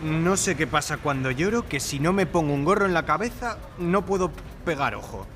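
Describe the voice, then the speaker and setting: A man's voice: a little high, animated, normal speed, a bit bright, soft background music. An actor voicing a pleading, sulky male rival in an audio drama, in a plaintive manner. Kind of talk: live audio drama acting.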